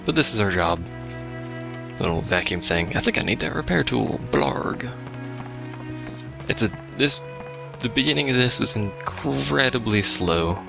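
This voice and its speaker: Wry, low-voiced man with creaky delivery. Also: expressive, measured pace, long pauses. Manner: sarcastic, wry. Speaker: let's play host. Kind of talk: casual gameplay commentary. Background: game music.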